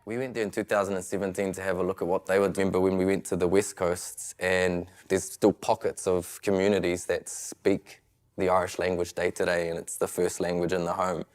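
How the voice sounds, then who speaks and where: A man's voice: sits low, flat delivery, a little fast, darkish tone. A man being interviewed, talking in a reflective, earnest way. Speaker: interviewee, Māori language translator. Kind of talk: interview answers.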